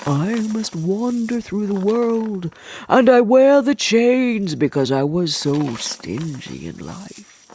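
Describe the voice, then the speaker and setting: Female narrator as chained male ghost, grave, remorseful, swooping pitch, storybook warning. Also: mellow, slight background noise, full character voice. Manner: solemn, warning.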